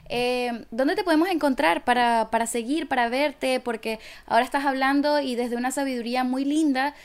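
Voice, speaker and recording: A woman's voice: sits high, moderate pace, clear, resonant tone. A woman with a Venezuelan accent hosting a show in a conversational interview style. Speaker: radio show host.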